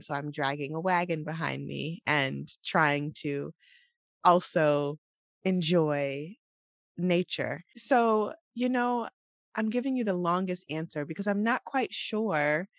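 Sleepy-voiced low woman chatting. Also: no room sound, studio quality. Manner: relaxed, laughs often, meandering. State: sleepy morning voice. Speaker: podcast co-host. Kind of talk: casual morning chat.

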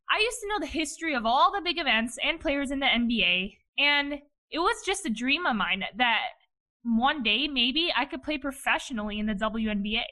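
A woman in her thirties from Ohio hosts her own podcast and tells her story in an upbeat, chatty monologue. Her voice sits high and sounds bright, and her talk is peppered with "you know" and "like.". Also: rough recording. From Cleveland, Ohio, USA. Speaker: podcast host.

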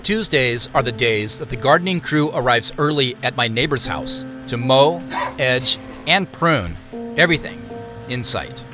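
An American actor playing a reflective amateur investigator, narrating an audio drama in a measured, conversational way. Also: male voice, medium pitch, animated, over music, natural voice. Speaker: narrator and lead character.

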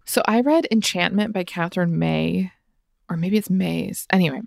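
A woman co-hosting a book podcast, chatting casually with a gravelly morning voice, laughing often and trailing off with fillers. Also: fairly low pitch, normal speed, a little mellow, no room sound, studio quality. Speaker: podcast co-host.

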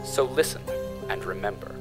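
A man's voice: lowish voice, lively intonation, moderate pace, natural voice, rough recording. Earnest company radio announcer played by a man over music. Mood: solemn, promotional. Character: earnest male corporate propaganda radio announcer.

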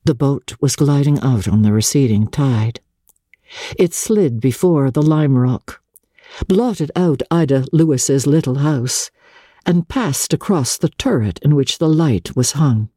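A woman narrating classic literature in a measured, theatrical way, with deliberate pauses between phrases. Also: rumbling low, dark tone, breathy edge, dry recording, studio quality. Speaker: narrator, audiobook reader.

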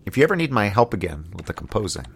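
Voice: deep voice, big pitch swings, slight background noise. An actor playing an awkward, sincere crew member in an audio drama, speaking hesitantly. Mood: tentative, eager. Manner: hesitant, halting. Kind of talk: naturalistic audio drama acting.

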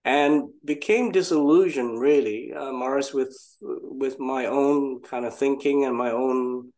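A man's voice: medium pitch, measured pace, darkish tone. An older man from Malaysia, a guest entrepreneur telling his story in a casual interview, reflective and self-deprecating.

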